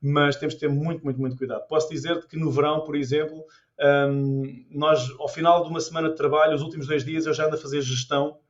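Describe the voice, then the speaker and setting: A Portuguese man talks quickly in a slightly high voice. He tells his stories with energy, restarting phrases as he goes. The sound is a bit dull and lo-fi. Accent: European Portuguese. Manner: animated storyteller with many restarts. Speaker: podcast guest, tour guide. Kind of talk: casual interview chat.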